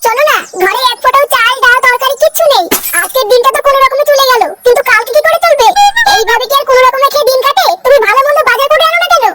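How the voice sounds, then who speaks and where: Cartoon wife, plaintive nagging, extremely high, fast, self-pitying. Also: male voice, over loud music. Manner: complaining, plaintive. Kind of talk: exaggerated comic cartoon dialogue.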